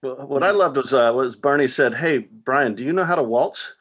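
A man, a cast member, telling a story in an animated way. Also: medium pitch, moderate pace, husky.

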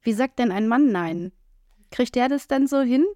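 A woman's voice: a little high, lively intonation, moderate pace, darkish tone, dry recording, studio quality. A woman speaking frankly and chattily on a casual podcast, with many fillers. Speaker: podcast co-host.

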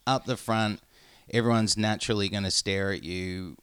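Smooth-voiced male podcast host. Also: on the low side, fairly even intonation, moderate pace, a bit bright, no echo. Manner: conversational, long winding questions. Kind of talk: interview questions.

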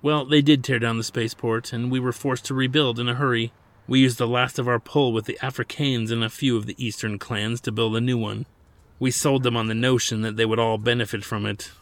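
A man's voice: mid-range voice, steady tone, a little fast, lightly acted. A man voicing an annoyed young princess who speaks curtly. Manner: cold, clipped.

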